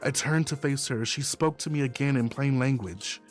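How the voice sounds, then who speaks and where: A man's voice: mid-range voice, normal speed. A man reading a visual novel aloud as first-person story narration. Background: background music.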